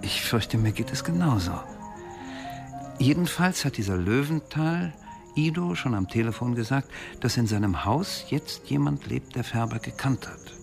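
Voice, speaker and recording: Ein Schauspieler spielt im Hörspiel einen bedrückten, nachdenklichen Akademiker, der behutsam berichtet. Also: male voice, medium pitch, expressive, moderate pace, gravelly, music in the background. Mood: uneasy, reflective. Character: troubled, reflective male academic, recalling a colleague. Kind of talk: radio drama dialogue.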